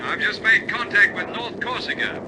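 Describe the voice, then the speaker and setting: A man's voice: high-pitched, normal speed, close to an everyday voice. A man in an old radio drama playing a cheerful, obedient wireless operator aboard a bomber. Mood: pleased, reporting. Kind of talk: 1950s radio drama acting. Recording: old radio recording, loud aircraft engine noise.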